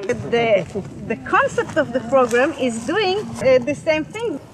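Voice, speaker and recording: A woman's voice: high voice, big pitch swings, unhurried, over a noisy crowd. A woman explaining field monitoring outdoors, hesitating with many fillers. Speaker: field educator.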